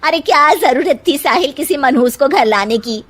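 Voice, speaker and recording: A woman's voice: high-pitched, lively intonation, moderate pace, fairly bright tone, pitched above the performer's own voice. A woman voicing a spiteful, taunting gossipy aunt in a cartoon story, dragging out her accusations. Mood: bitter, taunting. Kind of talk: Hindi moral-story cartoon dubbing.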